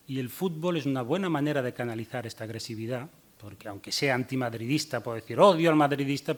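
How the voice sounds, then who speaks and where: A man giving a short talk on a conference panel, hurrying to finish and reading passages aloud. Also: mid-range voice. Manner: hurried, reads quotes aloud. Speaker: panel speaker.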